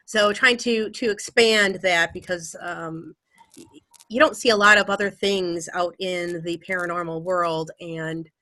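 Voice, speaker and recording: A woman's voice: lowish voice, fairly even intonation, a little slow, pushed and bright, dry recording. A woman from Wisconsin speaking as an author on a panel, easygoing with frequent fillers. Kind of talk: casual panel talk. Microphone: video call.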